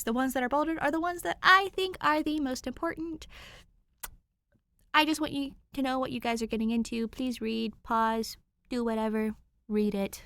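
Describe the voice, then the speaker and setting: A young adult woman reading fan fiction aloud in an expressive, informal storytelling style. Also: sits high, no echo. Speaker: podfic reader.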